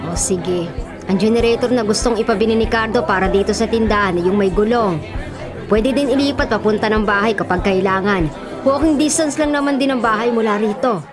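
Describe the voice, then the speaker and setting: An actress playing a kindly, practical storekeeper wife in a Filipino radio drama. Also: female voice, mid-range voice, brisk, crowd noise, close to an everyday voice. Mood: accepting. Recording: music and public-space bustle behind.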